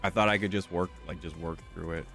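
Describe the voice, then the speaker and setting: A man's voice: low-pitched, fairly even intonation, quick pace, fairly bright tone, faint music behind. A young man with a stuffy, slightly nasal voice, chatting casually and rambling with lots of fillers while streaming a game. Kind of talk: casual chat while gaming.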